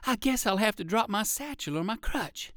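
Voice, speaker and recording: A courteous, easily flustered old gentleman rabbit from a children's storybook, voiced by a man. He speaks a little higher than the narrator, with a lively, bouncing lilt. The room is dry and close. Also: normal speed, no room sound. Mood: worried.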